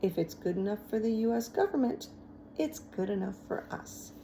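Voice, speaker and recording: A woman voicing a kind, encouraging male schoolteacher in a storybook, gentle and lightly teasing. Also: medium pitch, expressive, normal speed, a little hiss or hum, natural voice, low-quality recording. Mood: wry, easygoing. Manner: gentle, reassuring.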